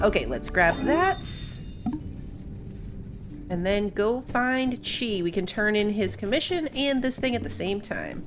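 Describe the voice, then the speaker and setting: A woman hosts a gaming video in a casual, chatty way. Her intonation moves up and down in a lively manner as she thinks aloud, with music clearly playing behind her. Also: medium pitch. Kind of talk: casual playthrough commentary.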